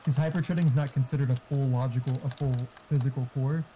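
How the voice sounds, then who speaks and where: Dark-voiced man chatting excitedly. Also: mid-range voice, fast, slight background noise, rough recording. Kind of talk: casual chat during gameplay.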